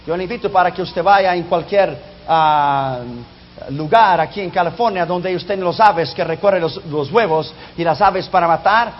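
Male preacher, non-native speaker, high voice, emphatic delivery; lo-fi, muffled recording. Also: normal speed, a little hiss or hum. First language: not Spanish. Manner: emphatic, drops low and soft on key lines. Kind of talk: sermon on prophecy. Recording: dull lo-fi recording.

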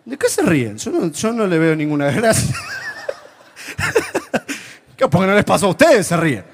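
A man from Argentina with an Argentine accent, preaching a sermon to a congregation and telling humorous stories with lively, animated delivery. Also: sits high, normal speed, slight background noise. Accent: Argentine Spanish. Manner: humorous, animated storytelling, shifts to whispers. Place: church before a live congregation.

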